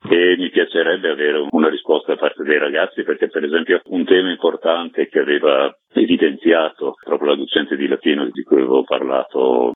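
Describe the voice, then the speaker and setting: A man with an extremely low, husky voice speaks as a radio interview guest. He is reflective and halting, repeating words to stress them. The audio is lo-fi and muffled. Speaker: film director, interview guest. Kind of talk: spontaneous radio interview answers. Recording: dull lo-fi line with roomy echo.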